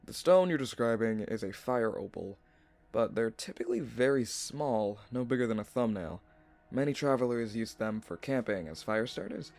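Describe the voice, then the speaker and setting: Young man, mid-pitched, modest alchemist, polite, matter-of-fact, dry recording, fantasy audio drama. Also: lively intonation, moderate pace, gravelly, a little mellow, dry recording, soft background music. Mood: concerned, explaining. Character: earnest, modest young male alchemist, a dutiful son.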